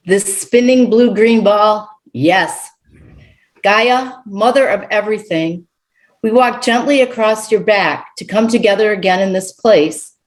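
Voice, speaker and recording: A woman reading a poetic invocation as a worship speaker, measured and reverent, with deliberate pauses. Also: medium pitch, poor audio.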